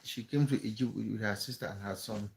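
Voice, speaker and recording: A man's voice: on the low side, fairly quick, creaky. A man from Cameroon, hosting a casual live stream, chatting with viewers and often repeating words as he thinks aloud. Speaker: livestream host.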